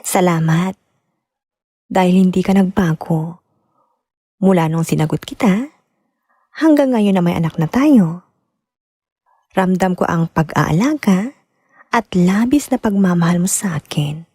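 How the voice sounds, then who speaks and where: An actress playing a loving, wistful wife and mother in a sentimental radio drama, speaking softly and emotionally with a Filipino accent. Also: on the low side, a little slow, long pauses, breathy edge, no echo. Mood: grateful, tender. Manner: soft, heartfelt, emotional. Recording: close dry studio, music bed.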